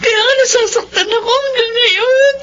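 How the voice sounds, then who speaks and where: An actress playing a jealous young woman who feels betrayed, tearful and shouting accusations. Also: very high-pitched, moderate pace, slight background noise. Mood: sulky, pleading. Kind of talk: radio-drama acting. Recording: muffled lo-fi recording.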